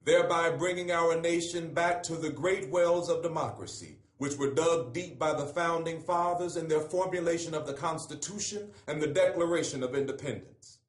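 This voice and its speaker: A man giving a solemn, measured, oratorical reading of a letter, with deliberate pauses. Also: a little high. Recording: echoey room.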